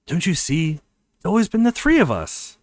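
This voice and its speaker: A theatrical young college guy who sees himself as an anime hero, acted by a male let's player. He is boyish and excitable, with a fairly high, wildly swinging pitch. It is visual novel dialogue with a dry sound. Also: normal speed, dry recording. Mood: jealous, dramatic.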